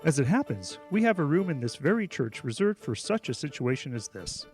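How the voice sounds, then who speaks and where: An actor playing a shy, nervous, kindly pastor in an audio drama, hesitant, polite and placating. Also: male voice, on the high side, very animated, brisk, music in the background. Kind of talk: audio drama character acting.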